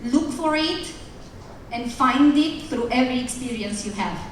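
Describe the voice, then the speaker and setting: A woman with a Romanian accent speaks a little high, addressing graduating students with jokes and a direct tone. She sounds far from the mic, and her voice rings through a large, echoey hall. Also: expressive, measured pace, a little hiss or hum. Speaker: teacher. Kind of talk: graduation speech.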